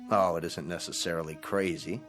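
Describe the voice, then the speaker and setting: An actor playing a calm manager of an old folks' home in a radio drama, speaking in a patient, placating way. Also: male voice, low-pitched, fairly quick, soft background music. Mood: patient, reasoning.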